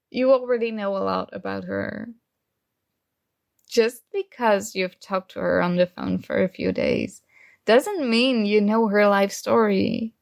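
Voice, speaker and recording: A woman playing a secretly jealous, teasing roommate in an intimate one-sided ASMR roleplay, with hesitant pauses. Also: mid-range voice, gravelly. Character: secretly jealous female roommate, teasing, hurt but feigning indifference.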